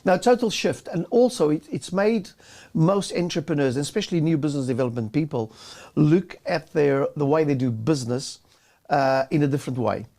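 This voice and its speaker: A man with a fairly high voice co-hosts a relaxed business talk. He speaks in halting bursts, often restarting and repeating words before getting his point out. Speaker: co-host. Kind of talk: casual business chat.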